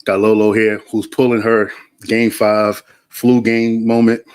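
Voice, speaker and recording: A man's voice: on the low side, little pitch movement, measured pace, clean tone. A man hosting a basketball podcast in a laid-back, slangy way, with lots of fillers. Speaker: podcast host. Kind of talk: casual sports podcast chat.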